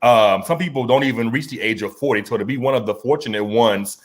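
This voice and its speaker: A man's voice: mid-range voice, fast talker. A man in his forties hosting a casual conversation, laid-back and slangy, often repeating words as he talks. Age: 40s. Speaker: podcast host.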